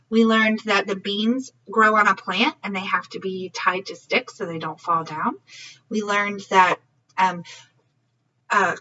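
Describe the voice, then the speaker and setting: A woman's voice: fairly high pitch, bright, forceful tone. A woman reading a story aloud for young children in a teacherly way, often checking in with questions. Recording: slightly muffled, distant mic.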